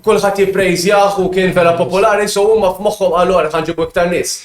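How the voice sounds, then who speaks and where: The sound is echoey and roomy. A Maltese man with a high voice chats casually as a podcast co-host. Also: fairly even intonation, fast. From Malta. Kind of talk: casual chat.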